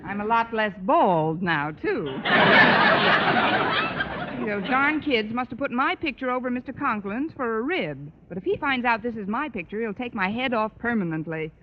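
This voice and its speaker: An actress playing a wry, quick-witted schoolteacher with dry, wisecracking delivery in an old-time radio sitcom. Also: female voice, on the high side, expressive, lightly acted. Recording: noisy, muffled old radio recording.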